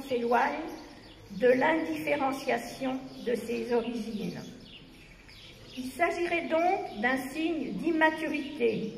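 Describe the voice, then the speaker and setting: A woman at a tribute event reading a prepared text aloud in a slow, formal, measured way, pausing between phrases. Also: high-pitched, vocal fry, a little hiss or hum. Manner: measured, deliberate delivery with long pauses between phrases. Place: large reverberant venue at a festival. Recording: echoey hall with long reverb, faint birdsong.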